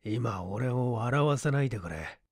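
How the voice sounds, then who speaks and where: A man voicing a gravely wounded man, terse and wry, muttering through pain in an audiobook story. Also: lively intonation, a little slow, no echo, clean studio sound. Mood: pained irritation. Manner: strained, terse, low muttering. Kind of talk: Japanese audiobook character acting.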